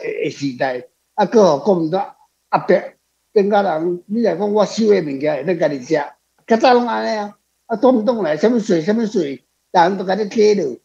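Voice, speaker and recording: A man's voice: on the high side, creaky, mellow, breathy edge, highs cut off. A man with a Taiwanese accent chatting off the cuff as a guest author, rambling through anecdotes and repeating his phrases. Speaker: author guest answering questions. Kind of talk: unscripted conversational talk.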